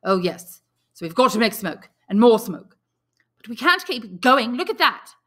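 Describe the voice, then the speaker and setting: A woman's voice: a little high, very animated, normal speed, a bit bright, voice pushed up. Storybook role: earnest boy leader, emphatic and frustrated, read by a woman. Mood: desperate, insistent. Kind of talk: storybook character reading.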